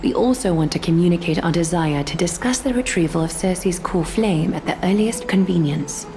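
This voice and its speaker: An actress voicing a composed, courteous noble lady ruler in a video game, speaking formally and with measured poise. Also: fairly low pitch, close to an everyday voice. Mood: composed, reassuring. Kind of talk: scripted video game dialogue. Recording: music playing behind.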